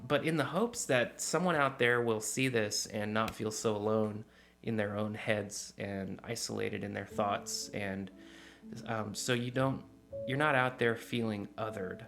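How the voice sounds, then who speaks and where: A man in his forties talking earnestly in a casual confessional vlog, rambling with fillers and restarts, recorded on a desk mic in a small dim room at home. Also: medium pitch. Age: 40s. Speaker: vlogger. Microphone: desk mic in front, close camera framing.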